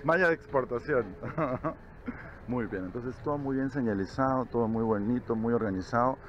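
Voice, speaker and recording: A man's voice: mid-range voice, moderate pace, slight background noise. A Peruvian man in his forties talks casually to his camera while walking an outdoor market. He laughs easily and keeps checking in with listeners. The audio is a bit dull, like a handheld camera mic. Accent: Peruvian Spanish. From Peru. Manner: chatty, laughs often, tags phrases with "¿ya?" and "¿no?". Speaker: travel vlogger. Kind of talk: casual travel vlog narration. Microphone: camera held at arm's length.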